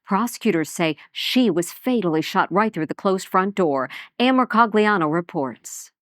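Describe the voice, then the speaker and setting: A woman news anchor opening a broadcast with a formal, crisp delivery. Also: medium pitch, animated, moderate pace, a bit bright, no room sound, studio quality.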